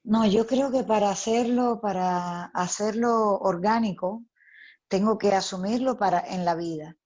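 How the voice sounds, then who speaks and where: A woman speaks with a Cuban Spanish accent, in a warm, mellow tone. She answers reflectively, pausing often with fillers, over a slightly dull, lo-fi call. Her pitch sits in an ordinary middle range. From Cuba. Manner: thoughtful, hesitant with many fillers. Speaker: actress, interview guest. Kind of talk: conversational interview answer.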